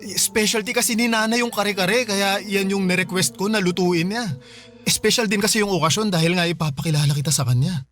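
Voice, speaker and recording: A man's voice: high voice, fairly even intonation, brisk, fairly bright tone, faint music behind. An actor playing an earnest, protective young man, respectful and pleading, in a Filipino radio drama. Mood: apologetic.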